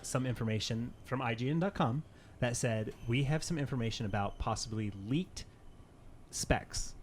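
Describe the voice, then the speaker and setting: A man's voice: mid-range voice, normal speed. Casual man chatting over background noise. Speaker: podcast co-host. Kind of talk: casual banter about gaming news.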